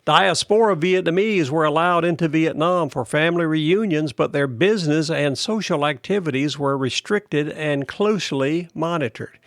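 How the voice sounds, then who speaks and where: A man hosting a podcast, reading a news article aloud in a steady, plain delivery. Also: fairly high pitch, moderate pace, hardly any pauses, dry recording, studio quality. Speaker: podcast host.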